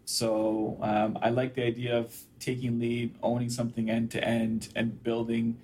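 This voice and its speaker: A man from Jamaica, raised in Canada, answering interview questions thoughtfully with frequent pauses. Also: medium pitch, monotone, darkish tone, slight background noise. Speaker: guest.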